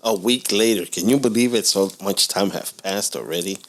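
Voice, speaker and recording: A man's voice: on the low side, moderate pace, poor audio. A man from Costa Rica co-hosting a casual comedy podcast, teasing and asking questions with frequent laughs. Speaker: podcast co-host. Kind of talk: casual banter.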